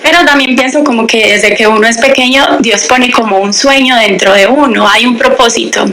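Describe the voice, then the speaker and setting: Bright-voiced woman telling her story. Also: fairly high pitch, normal speed, a little hiss or hum. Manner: lively, candid storytelling, acts out quoted dialogue. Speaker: interview guest, language teacher. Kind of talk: radio interview, personal storytelling.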